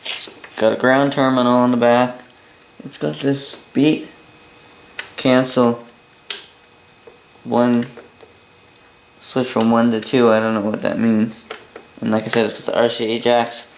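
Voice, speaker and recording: A Canadian man gives a casual, unscripted demonstration. He speaks offhandedly and haltingly, as if working it out while he talks. The room is noticeably echoey, with faint noise and clicks behind him. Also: medium pitch, level tone, creaky. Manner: halting, offhand, thinking aloud. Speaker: hobbyist presenter demonstrating a vintage boombox. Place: indoor room at a workbench by a window. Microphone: camera mic a short distance away.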